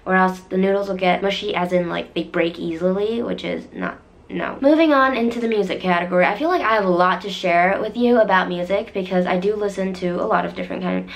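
A woman's voice: lowish voice, brisk, gravelly. Chatty young woman, voice a bit worn. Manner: chatty, filler-heavy, often restarts and corrects herself. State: voice a bit deeper than usual after losing it. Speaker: vlogger. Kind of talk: casual chat to camera. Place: small bedroom, indoors. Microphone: camera in front at desk distance.

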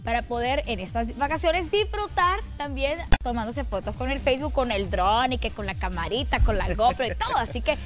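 High-pitched young Salvadoran female host. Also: animated, fairly quick, hardly any pauses, over music. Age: young adult. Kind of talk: chatty promotional presenting. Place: TV studio, standing.

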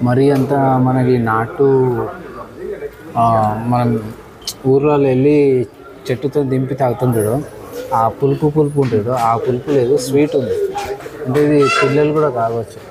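Young man, casual explainer, medium pitch, clear crowd murmur behind. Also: steady tone, moderate pace, pauses often, poor audio. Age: young adult. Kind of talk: casual chat, explaining to camera. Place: open cafe seating area. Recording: busy public cafe, people around.

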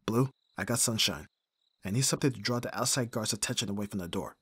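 Man playing an urgent, lowish-voiced protective bodyguard, noisy background. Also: a little fast, fairly bright tone, natural voice. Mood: focused urgency. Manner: urgent, exasperated, sighing. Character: gruff, protective male bodyguard, abrasive but caring. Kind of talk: ASMR audio roleplay acting.

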